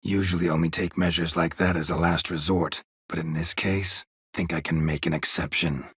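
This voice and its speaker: An actor playing a gruff, weary, stoic monster hunter in a video game, a gravelly voice and a terse, deadpan delivery. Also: male voice, moderate pace, dry recording, exaggerated voice. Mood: dry, terse. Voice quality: husky, gravelly low voice. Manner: terse, clipped, deadpan, dry. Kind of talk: video game character dialogue.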